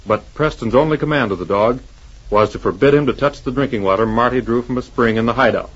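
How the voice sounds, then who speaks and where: A man's voice: medium pitch, fast talker, a bit bright, slightly rough. Dramatic male 1940s radio serial narrator. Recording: muffled 1940s radio recording. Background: music.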